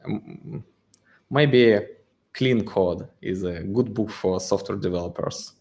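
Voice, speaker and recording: A man's voice: lowish voice, slow pace, creaky, darkish tone. A man, a guest answering interview questions, hesitating and searching for words with many fillers. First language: Russian. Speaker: guest, exchange co-founder.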